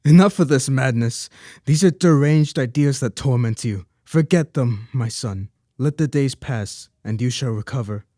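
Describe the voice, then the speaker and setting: A man's voice: medium pitch, moderate pace, dark tone, no echo, clean studio sound, natural voice. A young man playing a protective, grieving older father in a radio play, speaking in a formal, paternal manner. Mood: stern, alarmed. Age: young adult. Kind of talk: student radio play acting.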